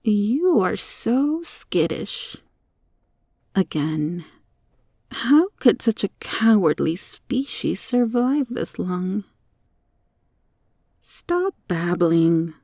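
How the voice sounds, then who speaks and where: A woman voicing a sly, teasing monster cockroach girl in an ASMR roleplay, taunting and coaxing with deliberate, sometimes whispery delivery. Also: medium pitch, sing-song, warm tone, no echo, clean studio sound, exaggerated voice. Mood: scornful, defiant.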